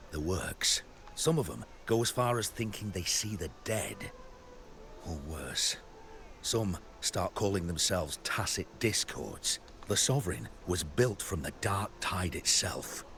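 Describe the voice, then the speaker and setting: A man playing a weathered, skeptical camp veteran in a video game, with a gravelly voice and folksy, clipped phrasing. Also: low-pitched, a bit bright, slight background noise, full character voice. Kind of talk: scripted video game dialogue.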